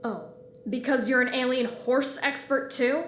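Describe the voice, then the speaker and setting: High, echoey, exasperated lady captain acted by a woman. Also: measured pace, fairly bright tone. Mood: skeptical. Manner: indignant, emphatic, protesting. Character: small, exasperated, reluctant young female ship captain. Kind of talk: scripted sci-fi audio drama acting. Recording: echoey room with faint noise.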